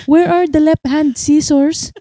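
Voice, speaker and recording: A young woman co-hosting a casual chat podcast, telling stories in an animated way and acting out what people said. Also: sits high, measured pace, no room sound, studio quality. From United States. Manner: storytelling, animated, acts out quotes. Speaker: podcast co-host.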